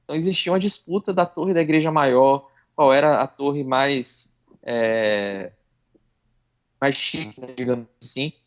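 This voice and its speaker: A man's voice: mid-range voice, unhurried, muffled recording. A man on a podcast panel explaining history in a casual way, correcting himself as he goes.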